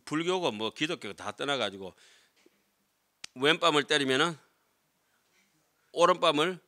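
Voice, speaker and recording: A man's voice: on the high side, expressive, a little slow, bright, forceful tone. A middle-aged man, a Buddhist monk giving a scripture lecture in a conversational way, often checking with listeners through short tag questions. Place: lecture room with seated audience, indoors. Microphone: desk gooseneck microphones.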